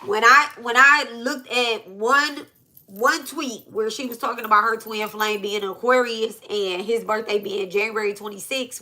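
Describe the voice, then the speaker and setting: A woman's voice: a little high, sharp tone. A woman in her thirties talking casually on her own vlog, blunt and emphatic, often repeating herself and swearing. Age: 30s. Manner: blunt, emphatic, repetitive, profane. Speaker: spiritual reader and channel host. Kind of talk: casual venting vlog talk.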